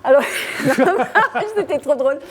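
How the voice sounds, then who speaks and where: A woman guest on a TV show telling an anecdote, animated, laughing and restarting her words. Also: high voice, slow pace.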